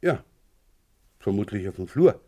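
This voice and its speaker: An actor playing a wily police inspector in a German radio crime play, probing with hesitant, feigned casualness. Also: male voice, lowish voice, very animated, measured pace, warm tone. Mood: musing, matter-of-fact. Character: wily male police inspector questioning a neighbour of a murder victim.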